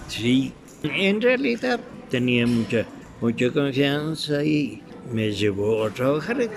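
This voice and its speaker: An older man with an Ecuadorian accent, a veteran radio actor reminiscing in an interview, haltingly searching for his words. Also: mid-range voice, big pitch swings, gravelly.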